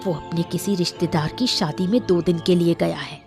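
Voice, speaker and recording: A woman's voice: lowish voice, steady tone, normal speed, over music, close to an everyday voice. A woman playing a ruthless, commanding married woman scheming in a cartoon moral story. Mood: scheming, urgent. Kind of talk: Hindi cartoon story dialogue.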